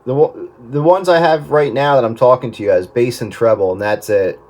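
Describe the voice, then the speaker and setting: A man chats casually over two-way radio as an amateur operator, explaining things in a halting way. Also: mid-range voice, darkish tone. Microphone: desk radio microphone.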